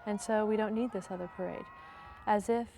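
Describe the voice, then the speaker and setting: Flat, mellow-voiced woman speaking thoughtfully. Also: mid-range voice, a little fast, gravelly, slight background noise. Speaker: activist interviewee. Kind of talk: interview answers.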